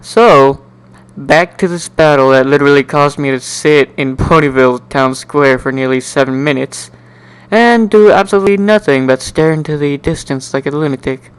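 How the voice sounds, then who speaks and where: A man giving an amateur dramatic reading of a first-person story in an animated, wry, sardonic way. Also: a little high, moderate pace, smooth, rough recording. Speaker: amateur narrator.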